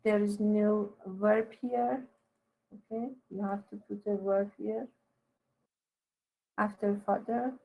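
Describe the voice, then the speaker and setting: A woman teacher giving halting, corrective and instructive one-to-one feedback on a student's writing. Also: medium pitch, flat delivery, gravelly, phone-quality sound.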